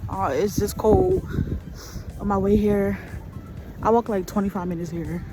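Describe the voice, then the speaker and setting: A woman's voice: medium pitch, a little slow, over music. A young woman talking casually to her phone camera outdoors, slightly tipsy, loose and swearing freely. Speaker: vlogger. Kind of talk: casual on-location vlog talk. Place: outdoors in a snowy city.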